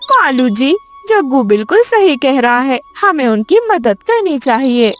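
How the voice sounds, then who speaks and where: Woman playing a high-voiced caring mother crow. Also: big pitch swings, a little slow, soft background music. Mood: warm, reassuring. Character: kind, caring mother crow, adult female. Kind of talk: children's cartoon story dialogue.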